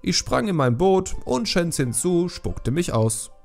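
A man voicing an excited fisherman who tells his tales in a colloquial, animated way for a fantasy audiobook. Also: high voice, measured pace, vocal fry, soft background music, voice pushed up, heavily acted. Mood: matter-of-fact. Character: excited male fisherman recounting a wild sea escape.

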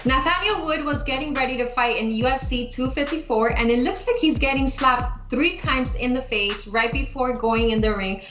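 A woman's voice: high-pitched, normal speed, few pauses, a bit bright. A young woman co-hosting a casual sports chat over a video call, upbeat and chatty. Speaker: co-host. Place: home room, video call. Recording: roomy echo, faint music behind.

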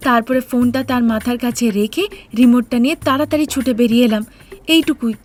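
A woman's voice: a little high, fast, darkish tone, natural voice. A woman voicing an earnest, stubborn young daughter-in-law in a cartoon story, pleading yet firm as she argues. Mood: exasperated. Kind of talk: Bengali cartoon story acting.

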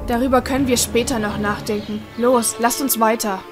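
A woman plays a resolute female warrior in a fantasy radio play. She is practical, with a slightly high voice. Music plays clearly behind her. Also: steady tone, normal speed, close to an everyday voice.

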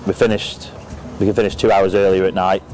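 A man's voice: sits low, level tone, brisk, darkish tone, slight background noise, low-quality recording. An English man in his thirties, a farmer giving an interview testimonial in a cattle shed. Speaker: dairy farmer. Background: background music.

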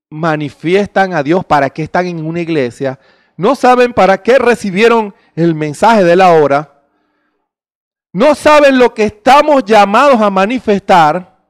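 A man's voice: high-pitched, dry recording, studio quality. A middle-aged man preaching a sermon into a handheld mic on a small indoor stage, emphatic and full of rhetorical questions.

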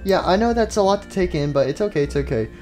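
A man's voice: high-pitched, animated, fast talker, a little mellow, soft background music, voice pushed up. A man playing a mildly exasperated puppet leader in a casual, dry everyday voice. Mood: brisk, reassuring.

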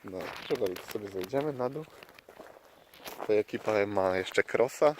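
A man from Poland chatting casually and unscripted as a travel vlogger, outdoors. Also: on the low side. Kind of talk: casual vlog chat, unscripted. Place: outdoors by a lake. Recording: noisy outdoor recording with clicks.

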